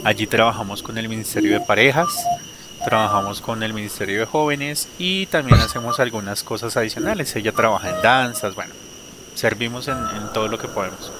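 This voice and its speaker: A man in his thirties, a guest sharing his faith story on a video call through a headset, talking warmly with frequent laughs and fillers. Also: lowish voice, a little fast, very noisy. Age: 30s. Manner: warm, laughs often, hesitant fillers. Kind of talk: personal testimony in an interview.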